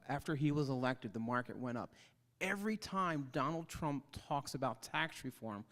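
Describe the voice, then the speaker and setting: A man's voice: on the high side, a bit bright. A man on a conference panel arguing emphatically, repeating words for stress. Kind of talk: panel discussion.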